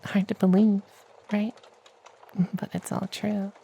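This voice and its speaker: A performer voicing a kindly, doting femboy witch in a hushed, affectionate ASMR roleplay. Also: medium pitch, little pitch movement, takes it slowly, creaky, slight background noise. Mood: mystical, proud.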